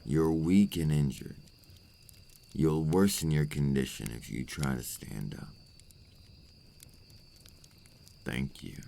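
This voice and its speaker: Man acting a very deep, gravelly, brooding vampire. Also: mellow, slight background noise, full character voice. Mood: gentle, reassuring. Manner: slow, deliberate, formal phrasing. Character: remorseful adult male vampire, brooding and tormented by his curse. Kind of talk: ASMR roleplay acting, intimate monologue.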